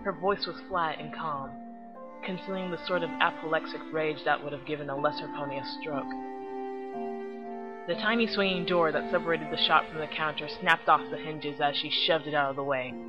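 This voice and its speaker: Casting: female web host, slightly low voice, casual reviewer and storyteller, muffled recording with music underneath. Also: steady tone, moderate pace. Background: background music.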